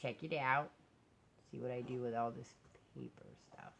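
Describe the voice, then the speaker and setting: An American woman with a low-pitched voice talks casually to her vlog audience. She is chatty and self-deprecating, drifting between asides. The home recording carries faint background noise. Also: steady tone, moderate pace, gravelly, fairly bright tone. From United States. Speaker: vlogger and crafter. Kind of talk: casual rambling vlog to camera. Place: indoors at a craft table.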